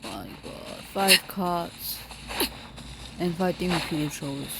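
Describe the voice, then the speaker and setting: Low-voiced woman gamer. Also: expressive, very slow, gravelly, heavy background noise. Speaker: gamer playing and commenting. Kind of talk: casual gameplay commentary. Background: loud game music.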